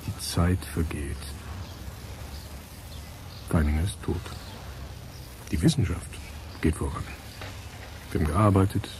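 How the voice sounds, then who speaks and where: An actor playing a pensive, fatherly research scientist in a radio drama, speaking gently and reflectively. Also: sits low, slight background noise. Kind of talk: German radio drama acting.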